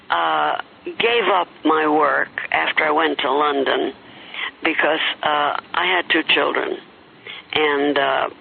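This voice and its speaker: An older woman, a former singer, looking back on her career in a hesitant interview full of pauses. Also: sits low, vocal fry, slightly rough, narrow, tinny audio. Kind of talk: reflective interview recollection.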